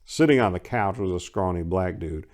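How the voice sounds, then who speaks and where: A man's voice: deep voice, normal speed, a little mellow. A man performing as a host and dramatic story reader, with a theatrical, emphatic, wry delivery. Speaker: writer and channel host performing dramatic readings. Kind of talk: dramatic first-person story reading.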